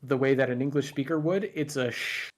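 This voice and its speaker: A man chatting casually as a guest, relaxed and careful as he explains. He tells his stories at an easy, measured pace. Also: medium pitch. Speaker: guest YouTuber. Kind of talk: casual conversation.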